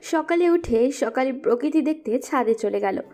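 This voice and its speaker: A woman's voice: high voice, lively intonation, moderate pace, warm tone. A woman narrating a scripted romantic story.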